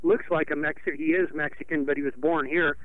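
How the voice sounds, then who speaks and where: A man's voice: on the high side, steady tone, fast, no room sound. Indignant male caller on a phone line. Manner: rambling, indignant, many restarts. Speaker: radio caller. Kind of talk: call-in radio complaint.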